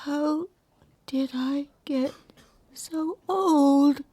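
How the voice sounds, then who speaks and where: A woman's voice: high-pitched, very slow, mellow, dry recording. An actress playing a decrepit, confused elderly mother who speaks in faltering, broken phrases in a radio drama. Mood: frail, bewildered. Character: decrepit, confused old mother, frail and fading.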